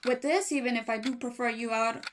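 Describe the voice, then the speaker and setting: A high-voiced actress as a manor secretary speaks. She is polite on the surface and quick to get exasperated. The radio play has a faintly noisy old-cassette sound. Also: steady tone, natural voice. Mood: dry, dismissive. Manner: polite but easily irritated, slips into Spanish. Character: fussy, exasperated female manor secretary, bilingual, quick to scold. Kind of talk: radio drama acting, recorded-diary monologue. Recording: noisy cassette-style recording with clicks.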